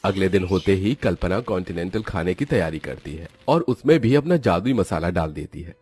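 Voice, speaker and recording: A male narrator reads a scripted children's story. His voice is mid-pitched and slightly husky with animated intonation, over faint music. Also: a little fast, dry recording.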